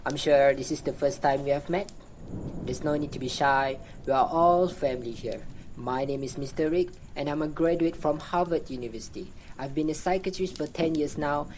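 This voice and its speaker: A woman's voice: deep voice, darkish tone, slight background noise, natural voice, rough recording. A woman playing a reassuring, formal psychiatrist leading a group session in an amateur radio drama. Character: smooth fake psychiatrist Mister Rick, reassuring group leader.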